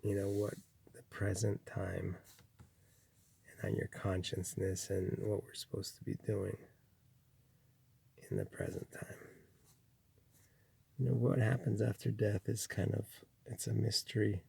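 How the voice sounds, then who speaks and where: Slow gravelly-voiced man musing softly. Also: animated. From Chile. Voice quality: gravelly, husky low voice. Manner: slow, rambling, with many restarts and fillers. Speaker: spiritual speaker. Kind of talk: reflective spiritual monologue.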